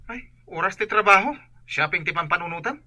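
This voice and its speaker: A woman putting on a gruff scolding parent on the phone. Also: fairly low pitch, expressive, brisk, pushed and bright, voice pushed down, full character voice.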